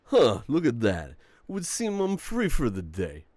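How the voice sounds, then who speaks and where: A man's voice: medium pitch, huge pitch swings, darkish tone, no room sound. A man playing a charming, cocky young mafia boss in a romantic audio roleplay, teasing and playful as he talks. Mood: smug, affable. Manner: playful, teasing, smooth-talking with drawn-out words.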